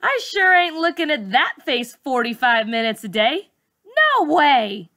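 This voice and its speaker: A tough, mouthy schoolgirl, as a woman narrator acts her out for an audiobook. She is sassy and defiant, throwing out slangy challenges in a bright, raised voice. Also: high voice, big pitch swings, a little slow, pitched above the performer's own voice, full character voice. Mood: scornful.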